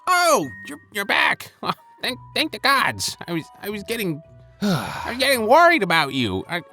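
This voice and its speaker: A man voicing a jittery villain who wakes up dazed, with a shrill, stammering and whiny delivery. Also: wildly swooping pitch, unhurried, sharp tone, soft background music, heavily acted. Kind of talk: audio roleplay drama.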